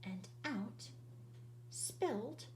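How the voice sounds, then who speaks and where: Lively sing-song woman reading aloud. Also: a little high, echoey room, far from the mic, slight background noise. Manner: lively, sing-song storytelling with drawn-out words. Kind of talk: expressive children's read-aloud.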